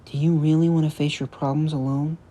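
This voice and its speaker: A man voicing a gentle, caring aunt in a soft, tender manner, as in an audio story. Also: on the high side, flat delivery, brisk, voice pushed up, lightly acted. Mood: tender, soothing.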